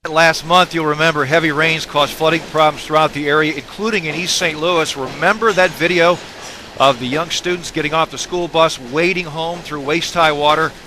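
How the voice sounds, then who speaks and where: Higher-pitched American newsman over background noise. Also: steady tone, moderate pace, bright, forceful tone. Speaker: television news anchor. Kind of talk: scripted broadcast news delivery.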